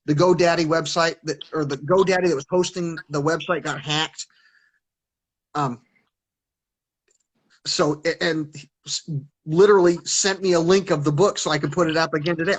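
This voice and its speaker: A man's voice: fairly high pitch, a bit bright, poor audio. A man talking casually as an interview guest on a video call, stuttering and restarting his sentences. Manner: stutters, many restarts and repeated words.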